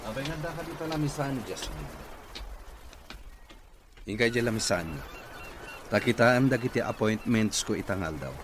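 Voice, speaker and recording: A man's voice: medium pitch, expressive, moderate pace, long pauses, close to an everyday voice. A man playing a businesslike company boss in an Ilocano radio comedy-drama. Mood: brisk, businesslike. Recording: noisy radio drama recording.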